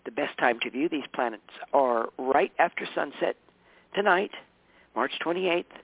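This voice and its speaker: Hoarse man on a phone line. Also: fairly high pitch, animated, a little slow, no room sound. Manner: chatty, warm, self-correcting, laughs often. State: hoarse, tired voice. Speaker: regular guest on a talk show. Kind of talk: casual radio show chat. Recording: dry, phone-line sound.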